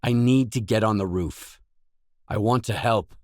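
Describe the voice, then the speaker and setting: An actor voicing a gruff, guarded lone stalker for hire in a video game, speaking in terse, dry, self-assured lines. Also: male voice, fairly low pitch, unhurried, a little mellow, no room sound, lightly acted. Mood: businesslike.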